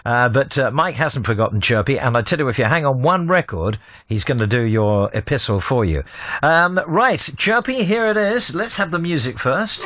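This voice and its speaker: A man's voice: mid-range voice, animated, normal speed, a bit bright. A man presenting on the radio, casually introducing the next segment in an informal, chatty way.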